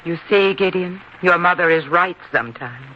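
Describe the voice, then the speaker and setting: Actress as gently admonishing mother, earnest, measured radio-play delivery, lo-fi sound, audible noise. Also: fairly low pitch, close to an everyday voice. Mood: pleading, admonishing. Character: wise, gently admonishing mother of grown son. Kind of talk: old-time radio drama acting. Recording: dull, noisy old-time radio recording.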